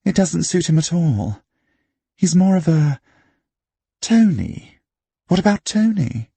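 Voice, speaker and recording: A man playing a haughty upper-class woman from a novel read aloud. She drawls emphatically in a raised, husky voice, slow and languid, as audiobook character acting. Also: on the high side, sing-song, mellow, dry recording, voice pushed up, full character voice.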